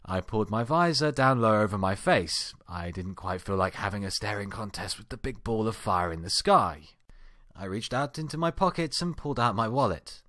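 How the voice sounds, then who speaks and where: A man giving an amateur dramatic reading of a first-person story, with expressive, acted-out delivery. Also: on the low side, normal speed, dry recording, studio quality. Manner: expressive, acts out exasperated outbursts. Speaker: amateur fan fiction reader.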